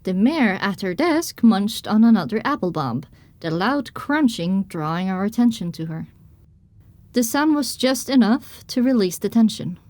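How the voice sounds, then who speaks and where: A woman playing a pony mare who narrates her own adventure in measured, descriptive audiobook style. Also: mid-range voice, animated, darkish tone, no room sound, close to an everyday voice. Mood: narrating. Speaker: first-person narrator.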